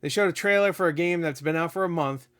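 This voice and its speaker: A man hosting his own gaming channel, giving a rambling, excitable review with frequent laughs and the odd swear, his voice getting a little raspy. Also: fairly high pitch, fast talker. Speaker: gaming video host. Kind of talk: casual unscripted review.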